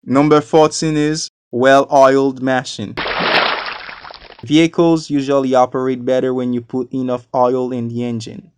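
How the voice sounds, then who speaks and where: Clear-voiced man from Haiti teaching English. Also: mid-range voice, little pitch movement, a little slow, a little mellow, no echo. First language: not a native English speaker. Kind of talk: scripted educational narration.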